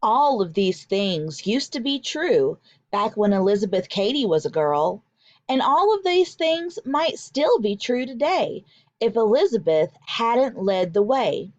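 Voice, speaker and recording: A woman teacher reading aloud to children in an expressive, deliberate, emphatic way. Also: on the high side, no room sound, highs cut off. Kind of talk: expressive children's read-aloud with vocabulary explanations.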